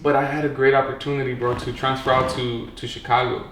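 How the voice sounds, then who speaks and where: A man's voice: medium pitch, level tone, fast, fairly bright tone. A man hosting a podcast, talking bluntly and casually, swearing freely and calling his guest "bro". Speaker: podcast host. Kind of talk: casual chat. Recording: roomy with faint noise.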